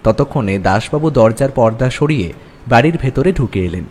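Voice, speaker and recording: A man's voice: mid-range voice, lively intonation, moderate pace, darkish tone, slightly rough. A man giving a scripted first-person narration of a story.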